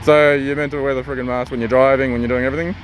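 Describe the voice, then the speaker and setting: Aussie guy arguing with cops on the street, talks quick, annoyed, recorded on his phone outside. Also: mid-range voice, level tone, a little hiss or hum. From Slovakia. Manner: argumentative, exasperated, defiant. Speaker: furniture remover stopped by police. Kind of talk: street argument with police. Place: outdoors on a suburban street with traffic.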